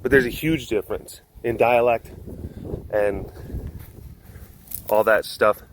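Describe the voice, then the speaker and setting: A man's voice: on the low side, takes it slowly, a little hiss or hum, poor audio. A man from Wisconsin with a Wisconsin accent, chatting playfully and rambling in a casual vlog outdoors at an animal enclosure. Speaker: animal sanctuary vlogger.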